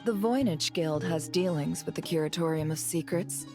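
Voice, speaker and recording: A woman's voice: sits low, moderate pace. An actress playing a shrewd, haughty businesswoman in a video game, dry and composed in her sarcasm, with music underneath. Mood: businesslike. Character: shrewd, haughty businesswoman boss, coolly sardonic.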